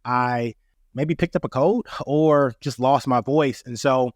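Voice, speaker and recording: A man hosting an instructional podcast, his voice raspy from a cold, talking in an earnest, explaining way. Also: mid-range voice, slow pace, no room sound, clean studio sound. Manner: earnest, repeats "right?" and "that being said". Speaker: podcast host. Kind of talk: casual instructional podcast talk.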